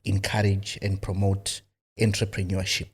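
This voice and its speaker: A man's voice: sits low, completely flat delivery, normal speed, breathy edge. A man from Zimbabwe, a filmmaker guest in a studio interview, talking casually with many fillers and restarts.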